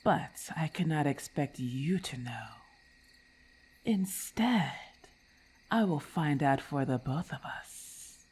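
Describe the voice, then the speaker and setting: A woman voicing a haughty, menacing female lamia in an ASMR roleplay, with a husky, breathy voice and formal, condescending diction. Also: deep voice, animated, slow, a little mellow, heavily acted. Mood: hungry, threatening. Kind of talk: ASMR roleplay, breathy half-whispered acting.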